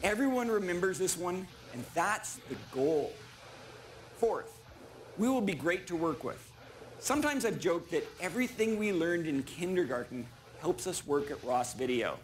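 A middle-aged man delivering a rehearsed keynote speech as a chief executive, speaking in measured, deliberate, emphatic short statements. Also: high voice, animated, a little hiss or hum. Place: large conference stage before an audience. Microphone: small clip-on lapel mic.

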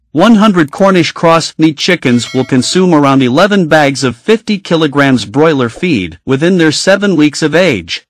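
Man, slightly high voice, scripted explainer narration, measured instructional delivery, dry close sound. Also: dry recording.

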